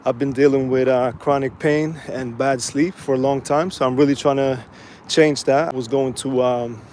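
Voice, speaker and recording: A man from Sweden casually chatting to viewers while walking outdoors by the water, relaxed and rambling with many fillers. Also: medium pitch. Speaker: vlogger. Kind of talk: casual live-stream walking vlog. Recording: faint outdoor street noise.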